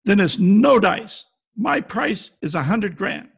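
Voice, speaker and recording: A man playing a cynical, tough-talking private detective in a wry, deadpan 1940s radio style. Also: high voice, takes it slowly, vocal fry, breathy edge, full character voice. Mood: cold, hard bargaining. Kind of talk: 1940s detective radio acting, narration and dialogue. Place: home, recorded over video call. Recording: video call recording with faint music behind.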